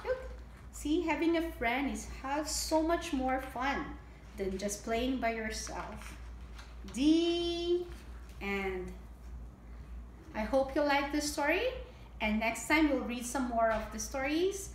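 A middle-aged woman with a very high voice reads a children's picture book aloud at an unhurried pace. She is expressive and keeps her explanations simple for little listeners. The room is echoey. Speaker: early childhood story time host. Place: indoor home room with table. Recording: echoey room with audible background noise.

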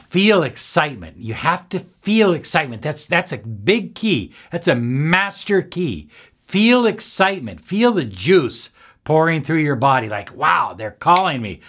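Male coach, 60s. Unhurried, lively intonation, emphatic short phrases, instructional delivery. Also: medium pitch. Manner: deliberate, emphatic, pausing between short phrases. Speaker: self-help coach. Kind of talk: instructional talk to camera. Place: small indoor room with bookshelves.

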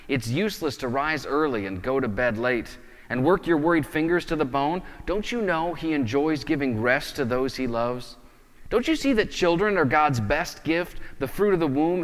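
A man's voice: fairly high pitch, fairly bright tone, big-room sound. A man in his thirties preaching a sermon in a conversational way, explaining with gestures, recorded on a lapel mic indoors. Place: indoors in front of a wooden plank wall.

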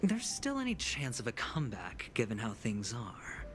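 Sly young gambler, game character. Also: male voice, medium pitch, huge pitch swings, moderate pace. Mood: uneasy. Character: sly young gambler, suave, scheming, theatrical. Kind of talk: video game voice acting. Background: background music.

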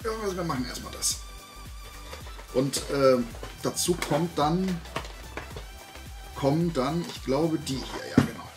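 A man's voice: fairly high pitch, unhurried, pauses often, over music. A middle-aged man chatting casually to camera as a collector presenting his finds, rambling and often interrupting himself. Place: indoor room lined with shelves of collectibles.

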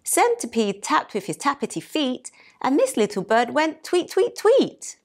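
A cheerful little storybook mouse, acted out by a woman, speaks high and excitably. The words are stretched and exclaimed, with a very animated swing in pitch. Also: a little slow, full character voice. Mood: indignant.

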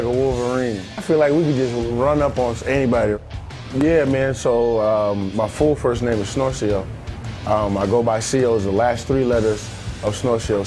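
A man in his twenties, a football player, chatting in a relaxed, easygoing way with some restarts. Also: medium pitch, rough recording. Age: 20s. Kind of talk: casual interview chat. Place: car, then sports bar. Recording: music under voice.

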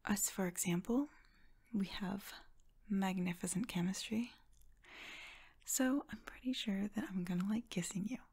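A young woman playing an affectionate, playful friend in an ASMR roleplay, speaking softly and breathily, often in a whisper, with gentle reassurance. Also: mid-range voice, breathy edge. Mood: gently reassuring. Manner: gentle, reassuring, giggly, halting.

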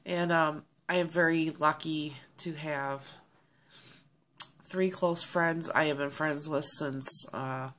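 A woman in her thirties chatting casually to her webcam, sounding nasal and stuffy from a cold, rambling with many ums and pauses. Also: deep voice, fairly bright tone, slight background noise. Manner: rambling, friendly, with many ums and throat clearing. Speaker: vlogger. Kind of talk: casual chat to camera. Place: small home office, indoors. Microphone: webcam at desk.

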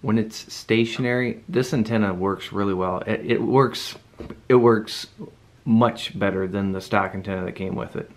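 Man, lowish pitch, casual reviewer, unscripted, rambling demo delivery. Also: steady tone, normal speed. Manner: informal, rambling, thinking aloud. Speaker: product reviewer. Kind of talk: casual unscripted product demonstration. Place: indoor room at home.

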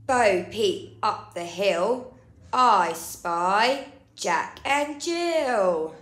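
A woman in her thirties reading a picture book aloud to young children in a deliberate, drawn-out sing-song, as a nursery storyteller. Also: medium pitch, lively intonation, fairly bright tone. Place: indoor room. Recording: roomy indoor echo.

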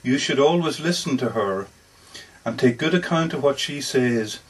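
A man's voice: medium pitch, expressive, moderate pace, husky. A man reading aloud as an earnest, lovestruck working-class man, folksy and plainspoken, in a dramatic story reading. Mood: tender. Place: bookshop book launch. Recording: distant mic at a live reading.

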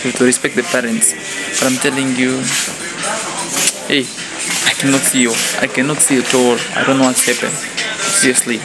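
A man's voice: medium pitch, flat delivery, brisk, long pauses, loud music behind the voice, rough recording. Chatty young man vlogging on a phone. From Namibia. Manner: chatty, repeats "like" and "guys", chuckles often. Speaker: vlogger interviewing people. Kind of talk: casual street-interview vlog. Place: shopping mall and car park, indoors and outdoors. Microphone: handheld phone camera at arm's length.

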